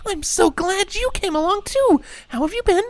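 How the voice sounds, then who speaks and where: An overexcited cartoon horse mom, super high n swoopy, gushes motherly love. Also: a little slow, heavily acted. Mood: cheerful, affectionate. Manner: gushing, squeaky, over-excited. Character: excited doting mother pegasus mare, gushing and motherly. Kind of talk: animated cartoon-style story dialogue.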